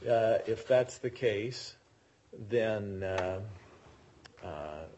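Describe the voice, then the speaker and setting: A man presiding as a judge, questioning lawyers in court with a probing, halting manner. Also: fairly low pitch, lively intonation, a little mellow, lo-fi sound, a little hiss or hum. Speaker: appellate judge.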